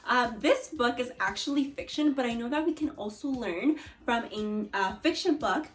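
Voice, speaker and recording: A woman with an American accent teaching a read-aloud lesson to young children, expressive and speaking straight to her pupils. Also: on the high side. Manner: animated, expressive, addressing pupils directly. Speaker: elementary school teacher.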